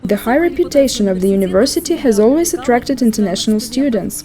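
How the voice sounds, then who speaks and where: A woman reading a scripted promotional narration in a measured, formal way. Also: a little high, dark tone. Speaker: voice-over narrator.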